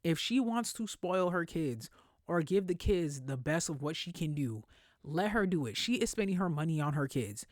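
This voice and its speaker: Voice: mid-range voice, animated, moderate pace, dry recording, clean studio sound. A podcast host giving casual, opinionated celebrity commentary in a conversational, emphatic way with plenty of slang.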